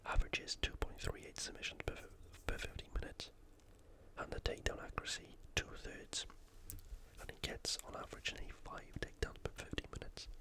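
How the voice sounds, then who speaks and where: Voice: brisk, sharp tone. A host whispering an informal, opinionated sports preview in ASMR style, all breath and no voice. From United Kingdom.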